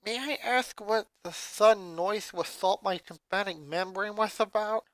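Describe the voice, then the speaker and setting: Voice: medium pitch, a little slow, fairly bright tone, no echo, pitched above the performer's own voice, rough recording. A fan reader voicing a condescending child genius who speaks formally and dryly. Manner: formal, clipped, dry. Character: pedantic genius little girl, condescending.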